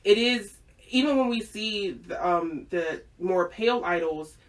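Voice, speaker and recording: A woman's voice: medium pitch, expressive, unhurried. Giggly woman host, distant mic. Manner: giggly, many fillers and restarts. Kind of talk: casual chat.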